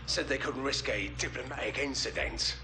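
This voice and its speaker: An actor with a British accent playing a volatile, traumatized special forces sergeant in a game cutscene, tense and haunted. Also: male voice, mid-range voice, normal speed, background noise, natural voice. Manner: tense and haunted, erupts into anger. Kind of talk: video game cutscene acting.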